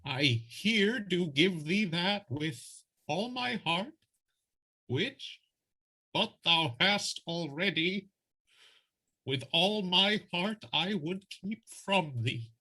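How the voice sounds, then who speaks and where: A bitter older father of noble rank played by a man in a Shakespearean audio drama. The voice is strained, husky and sharp, and it drags very slowly through each halting phrase. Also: high-pitched, animated, heavily acted, rough recording. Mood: bitter resignation. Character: Brabantio, aggrieved older nobleman and betrayed father.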